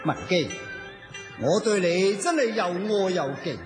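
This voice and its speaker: A man's voice: sits high, lively intonation, a little slow, in a large hall, music in the background. An actor playing an ardent, gallant nobleman suitor in a radio drama, declaiming effusively. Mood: admiring, gallant. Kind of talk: Cantonese radio drama acting.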